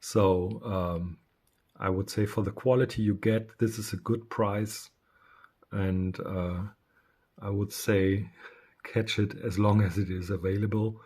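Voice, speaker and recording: A man reviews a product casually and without a script. His voice sits a little low. He speaks slowly and hesitantly, often halting mid-thought. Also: fairly even intonation, a little mellow, breathy edge. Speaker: radio reviewer.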